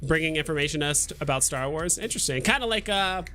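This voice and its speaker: A man's voice: a little high, lively intonation, fast, pushed and bright, soft background music. A man hosting a livestream in casual chat, hesitant and often thinking aloud. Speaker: livestream host.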